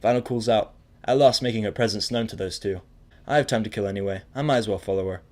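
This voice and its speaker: Guy reading a first person audio drama, fairly low voice, scripted narration. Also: fast talker, a little mellow.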